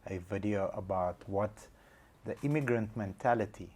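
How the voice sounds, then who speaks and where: A man's voice: on the low side, moderate pace, a little mellow, husky. A man from Bulgaria hosting an interview, speaking earnestly and encouragingly, stumbling now and then. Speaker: interview host. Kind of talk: casual interview talk.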